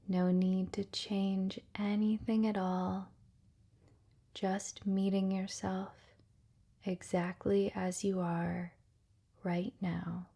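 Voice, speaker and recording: Female meditation guide: mellow, near-whispered, gentle, very slow and unhurried delivery. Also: medium pitch, level tone. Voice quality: soft, breathy, often near-whispered. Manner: gentle, soothing, unhurried, with long pauses. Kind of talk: guided relaxation meditation, soft ASMR-like delivery.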